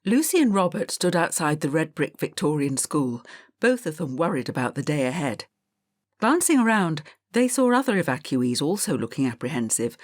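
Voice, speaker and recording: A woman narrating a children's audiobook in a calm, measured storytelling manner. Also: low-pitched, dry recording, clean studio sound.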